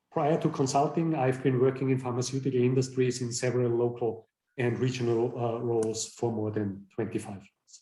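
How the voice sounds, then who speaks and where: A middle-aged man hosting a podcast interview, speaking in a measured, semi-scripted way with hesitant pauses. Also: medium pitch, mellow. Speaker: podcast host, consultant. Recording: roomy, echoey sound.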